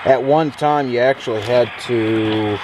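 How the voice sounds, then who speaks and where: An American man casually talking through a demonstration in an unhurried, rambling way, recorded on a handheld camera inside a pickup truck. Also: mid-range voice. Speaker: vlogger demonstrating an old CB radio. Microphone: handheld camera, speaker off screen. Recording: very noisy, radio static and vehicle ambience.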